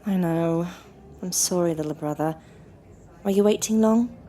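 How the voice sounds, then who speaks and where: An actress playing a patient, composed older sister, measured and placating, in naturalistic audio drama dialogue. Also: female voice, on the low side, warm tone, lightly acted. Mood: apologetic, polite. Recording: clearly noisy background.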